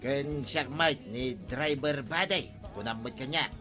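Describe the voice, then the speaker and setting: A man's voice: medium pitch, takes it slowly, music in the background, natural voice. A man with an Ilocano accent playing a sly tricycle driver and henpecked husband in a radio comedy drama. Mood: jolly.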